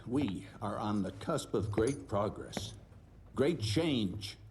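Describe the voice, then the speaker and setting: A man's voice: on the low side, lively intonation, slow, a little mellow, a little hiss or hum. An actor playing a condescending, domineering male director who talks down to his son. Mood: imperious. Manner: condescending, imperious. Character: cold, domineering male director, middle-aged. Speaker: institute director.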